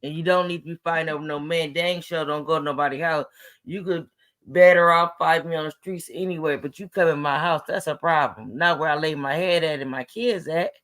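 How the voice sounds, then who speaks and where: A woman with a raspy voice calling in to a talk show, giving blunt, opinionated takes in casual slang. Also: sits low, steady tone, a little fast, fairly bright tone. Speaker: caller. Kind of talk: casual phone-in chat.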